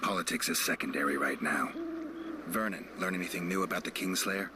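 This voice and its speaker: A man performs a stoic monster hunter from a fantasy video game. His voice sits very low, and his lines are short, dry and deadpan. Clear background noise runs under the dialogue. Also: brisk, close to an everyday voice. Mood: dry, composed. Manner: deadpan, terse.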